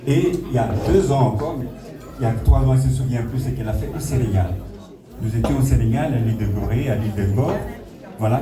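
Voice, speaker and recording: A middle-aged man with a Congolese French accent speaks to guests at a community gathering. His informal, storytelling delivery comes from a distance in an echoey hall, with crowd sound around him. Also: mid-range voice. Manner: storytelling, addresses listeners directly. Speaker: community association founder addressing guests. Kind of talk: informal public speech with anecdotes. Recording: echoey hall, distant mic, audience noise.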